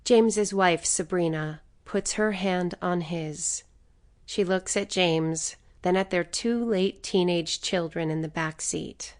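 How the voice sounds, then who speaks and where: A woman reading scripted story narration for an audiobook. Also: lowish voice.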